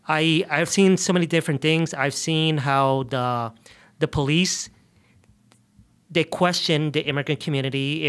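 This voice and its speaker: A man from the United States speaking as an interview guest, explaining things earnestly in conversational, somewhat halting phrases. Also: fairly high pitch, a bit bright, clear, resonant tone. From United States, grew up in New York and the South. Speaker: immigration justice advocate, interview guest.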